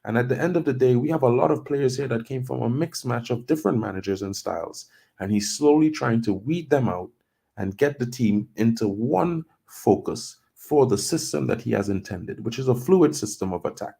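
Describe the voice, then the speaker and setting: A man's voice: mid-range voice, expressive, moderate pace, gravelly, mellow, breathy edge. A man from Trinidad and Tobago hosting a casual football news show, chatty and loose, thinking aloud with plenty of "you know".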